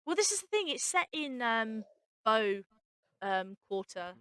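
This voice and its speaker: Bright, informal woman hosting, dry sound. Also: a little high, sing-song, measured pace, clear, resonant tone, dry recording. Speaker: host and writer. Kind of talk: casual behind-the-scenes chat.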